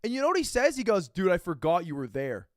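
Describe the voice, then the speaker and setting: A man in his twenties, a streamer reacting to a video, telling a story with excitable, profane energy and acting out what others said. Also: high-pitched, fast, no room sound, clean studio sound. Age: 20s. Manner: excitable, profane, acts out quotes.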